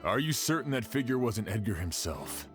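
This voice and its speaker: An actor playing a serious, sceptical sheriff in an anime game, firm and deliberate. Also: male voice, medium pitch, sing-song, music in the background, lightly acted.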